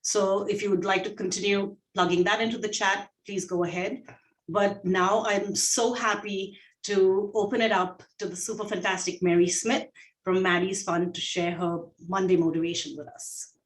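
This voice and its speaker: A woman with an Indian accent talks in a gentle, unhurried way, pausing often with fillers. She sits back from the microphone, and her voice sounds roomy and echoey over the video call. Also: medium pitch, little pitch movement, recorded from a distance. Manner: gentle, many fillers and pauses. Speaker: guest co-host, shelter veterinary director. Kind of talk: casual video call chat.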